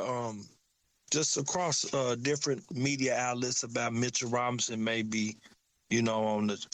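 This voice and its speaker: A man with a Southern country accent co-hosting a casual sports talk show, getting animated as he talks. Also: no echo, low-quality recording. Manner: animated, excitable, rises high when hyped. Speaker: podcast co-host.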